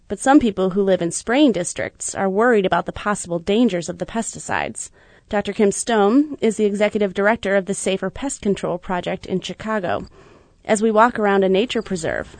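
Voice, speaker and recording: A woman reporter reads a radio news feature in a steady, measured way. Her voice sits at an ordinary middle pitch. The studio sound is close and dry, with no room around it. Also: dry recording. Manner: measured, even reporting delivery. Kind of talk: scripted news narration.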